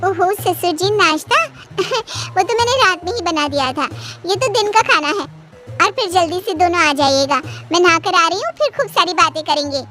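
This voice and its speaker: A woman playing a high-pitched chirpy young daughter-in-law. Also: lively intonation, normal speed, pushed and bright, soft background music, exaggerated voice. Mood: cheerful. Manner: chatty, breezy.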